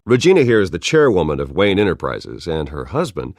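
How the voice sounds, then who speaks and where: A man playing a suave, charming billionaire socialite in a video game, with a smooth, easygoing, flirtatious manner. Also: deep voice, wildly swooping pitch, brisk, a little mellow, dry recording, clean studio sound, heavily acted. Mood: composed. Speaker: game voice actor. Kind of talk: polished game dialogue acting.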